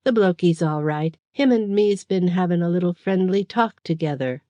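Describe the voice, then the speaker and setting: A woman voicing a coarse, rough-spoken male thug who mocks his captive. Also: fairly low pitch, moderate pace, dark tone, dry recording, lo-fi sound, natural voice. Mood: mocking. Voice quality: rough, common speech.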